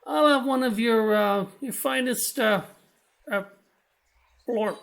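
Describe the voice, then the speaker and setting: An actor playing a hesitant, croaking frog-shaped entity that speaks haltingly in a comic audio drama. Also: medium pitch.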